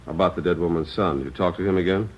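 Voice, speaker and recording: An actor playing a seasoned chief of detectives who asks brisk, probing questions, in vintage radio drama style. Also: male voice, deep voice, lo-fi sound, a little hiss or hum, close to an everyday voice. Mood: questioning. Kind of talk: 1940s-50s radio drama acting.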